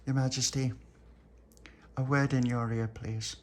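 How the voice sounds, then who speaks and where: A man voicing a polite little girl playing a lady-in-waiting, soft-spoken. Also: medium pitch, little pitch movement, measured pace, a little hiss or hum, pitched above the performer's own voice, lightly acted.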